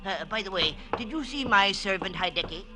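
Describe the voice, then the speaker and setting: A man's voice: high voice, animated, brisk, over music. A man playing an eccentric, avuncular older importer with florid, fussy talk, in 1940s radio drama style. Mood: businesslike, genial. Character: eccentric, jovial, anxious older pearl importer, male.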